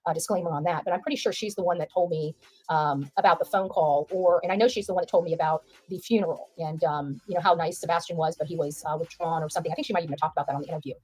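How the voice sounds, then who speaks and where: A woman's voice: sits low, steady tone, rapid-fire, darkish tone, slightly rough, dry recording, poor audio. A woman giving informal livestream commentary, emphatic and repeating phrases for stress.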